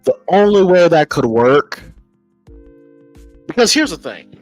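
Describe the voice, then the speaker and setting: A man's voice: fairly high pitch, sing-song, measured pace, dry recording, soft background music. An American man giving casual, emphatic opinion commentary as a podcast host, informal and often repeating phrases as he thinks aloud.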